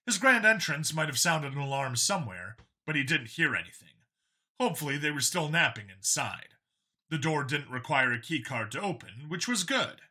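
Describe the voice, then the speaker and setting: A male audiobook narrator with a very bright, forceful voice. His pitch moves in a lively way as he reads scripted science fiction narration. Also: slightly rough.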